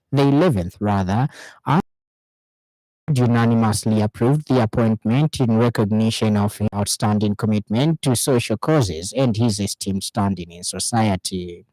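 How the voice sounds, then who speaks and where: A man's voice: mid-range voice, a little mellow, dry recording, poor audio. Zambian man reading news, dry studio sound. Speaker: radio news reader. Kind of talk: scripted news bulletin reading. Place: radio studio.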